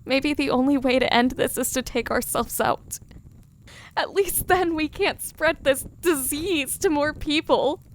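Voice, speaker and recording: A woman acting a high-voiced, hopeless young woman. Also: slight background noise. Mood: despairing, defeated. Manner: slow, tearful, defeated. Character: grieving, hopeless young woman trapped by a curse. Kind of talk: dramatic audio fiction acting.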